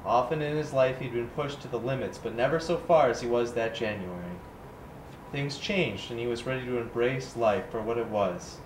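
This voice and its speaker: A man's voice: mid-range voice, normal speed, lightly acted. Man acting an earnest writer, echoey room. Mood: solemn. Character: earnest male writer presenting his own story. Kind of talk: staged reading, literary and dramatic. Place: indoor room at a staged reading. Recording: roomy, noisy live recording.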